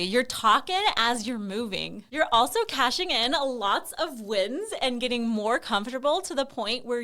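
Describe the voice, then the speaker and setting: A woman presents a scripted explainer aimed at families. Her voice sits on the high side and has a bright, forward edge. She speaks with encouragement and clear emphasis, like a company presenter walking people through a program.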